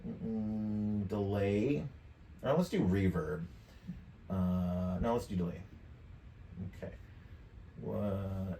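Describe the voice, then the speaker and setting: Man, 50s, low voice, offhand tutorial host thinking aloud; roomy, slightly distant mic. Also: vocal fry, dark tone, a little hiss or hum. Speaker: tutorial presenter. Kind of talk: casual screen-share software tutorial. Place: indoor home studio desk.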